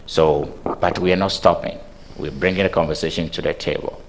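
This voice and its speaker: A man's voice: extremely low pitch, fairly even intonation, a little fast, creaky. A man speaking earnestly as a panelist and scientist, advocating for inclusive community change. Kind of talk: panel discussion.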